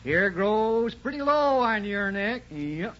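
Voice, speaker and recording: Droll, high-voiced rambling barber. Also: male voice, sing-song, takes it slowly, fairly bright tone, full character voice. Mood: droll, chuckling. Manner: rambling, repeats himself, tags jokes with "though". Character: chatty, droll small-town male barber who chuckles at himself. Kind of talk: 1940s radio comedy acting. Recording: muffled, faintly noisy 1940s radio recording.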